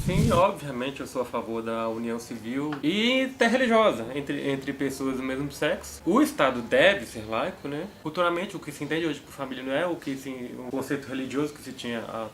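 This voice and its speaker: A man's voice: medium pitch, lively intonation, fairly quick, few pauses, a bit bright, slight background noise. A man in his thirties, a librarian, giving articulate, reasoned interview answers. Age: 30s.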